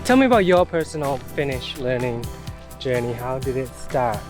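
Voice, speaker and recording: A man's voice: mid-range voice, sing-song, normal speed, music in the background. A man hosting a casual interview while walking outdoors, asking eager, curious questions. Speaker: podcast host. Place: outdoors in a park.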